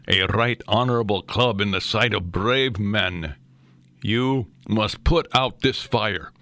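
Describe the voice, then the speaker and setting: A man's voice: lowish voice, fairly bright tone, dry recording. The self-important Scottish drill master of a backyard club in an audiobook memoir, as a male narrator puts him on, formally issuing pompous instructions to his recruits. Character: bossy boy club leader playing solemn Scottish drill master.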